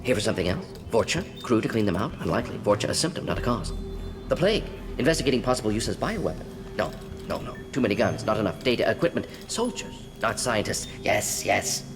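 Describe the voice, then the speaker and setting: A man voicing a brisk, brainy, restless alien scientist in a video game, talking in clipped fragments as if thinking aloud. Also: fairly low pitch, sing-song, big-room sound, music in the background. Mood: analytical, suspicious. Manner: clipped telegraphic sentences, muttering deductions aloud.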